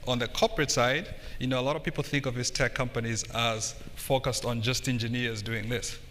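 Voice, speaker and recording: A man's voice: mid-range voice, fairly even intonation, brisk, fairly bright tone. A middle-aged man from Kenya speaking as a panelist at a conference, recorded in a live hall. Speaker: panelist, tech company internal audit executive. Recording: echoey hall with background noise.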